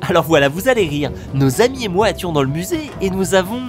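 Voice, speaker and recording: A man's voice: sits high, very animated, a little fast, music in the background. A man playing a hot-tempered, grumbling museum visitor in a comic audio drama, ranting with sarcasm and overdramatic exasperation. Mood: forced politeness.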